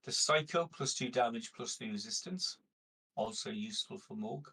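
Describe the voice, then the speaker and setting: A man's voice: mid-range voice, moderate pace, sharp tone, husky. A man playing a tabletop roleplaying game, talking casually and negotiating with the others.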